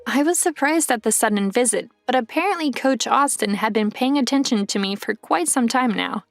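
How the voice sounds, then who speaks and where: A tall young female basketball pro telling her own story in a manga dub. Her voice sits fairly high, that of a once-insecure girl looking back in first-person narration. Music plays softly behind her. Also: lively intonation, moderate pace. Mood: reflective.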